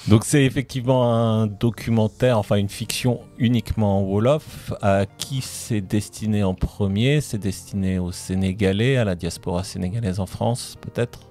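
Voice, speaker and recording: Low, mellow male radio co-host. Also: moderate pace, few pauses, faint music behind. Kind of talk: radio interviewing.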